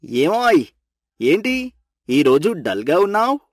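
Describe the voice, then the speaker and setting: A man voicing a concerned, protective young husband in an animated family story. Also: fairly high pitch, slow, full character voice. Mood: concerned, soothing. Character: concerned, protective young husband, easily indignant for his wife.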